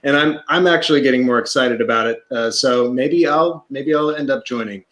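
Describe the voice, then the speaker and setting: A man's voice: mid-range voice, fairly quick, fairly bright tone. A man interviews an instructor for a comedy theater over a video call, rambling in a chatty way and joking as he goes. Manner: chatty, rambling, keeps making jokes. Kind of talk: casual interview.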